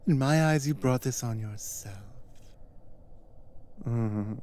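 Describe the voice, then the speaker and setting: Someone doing a powerful giantess captor, asmr roleplay, talks super slow, menacing n condescending, taunting. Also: mid-range voice, mellow, natural voice. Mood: cold, taunting.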